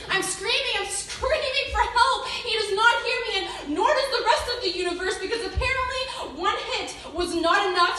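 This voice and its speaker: A woman reciting rhyming slam poetry with dramatic pauses and an emotionally intense delivery. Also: very high voice, fairly bright tone, far from the mic. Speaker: slam poet. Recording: echoey room from afar, noisy.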